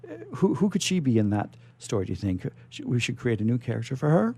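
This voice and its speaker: A man hosting a live radio interview, chatting in a halting way and often repeating words as he goes. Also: medium pitch, very animated, gravelly, warm tone, husky. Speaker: radio show host.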